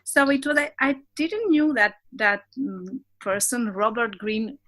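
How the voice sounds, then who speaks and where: A woman speaking English as a second language, with an accent, chatting as a podcast guest. Her voice sits a little high, and her delivery is animated. She often laughs at herself and pauses to hunt for the right word. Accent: non-native English. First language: not English. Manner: animated and self-laughing, searching for words. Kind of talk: casual conversation.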